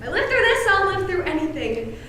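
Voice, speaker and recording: A very high-pitched, fussy genteel aunt played by a woman, chatty and insistent in a theatrical stage manner. She sounds far from the microphone in a heavily echoing space. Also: sing-song, a little fast, pushed and bright, heavily acted. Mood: exasperated. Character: fussy, nagging, genteel aunt and exasperated wife. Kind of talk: amateur stage play acting. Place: stage of a school performance space. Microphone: distant camera microphone. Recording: very echoey, distant stage recording with noise.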